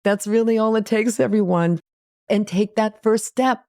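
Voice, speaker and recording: A woman's voice: medium pitch, normal speed, mellow. A middle-aged woman hosting an interview podcast in a conversational, effusive and encouraging manner. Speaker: podcast host.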